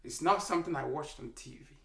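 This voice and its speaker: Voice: mid-range voice, fast talker, gravelly, fairly bright tone. A speaker with a Nigerian accent, a doctor giving an emphatic, preachy health talk to camera and repeating key lines for effect. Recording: echoey room, mic held at a distance.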